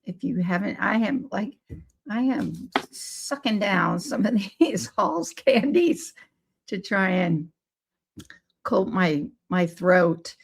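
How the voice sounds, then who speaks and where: A woman hosting a live podcast on play therapy, hoarse from laryngitis, with a scratchy, squeaky voice and an unhurried, friendly delivery with small self-corrections. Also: animated. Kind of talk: live-streamed podcast talk.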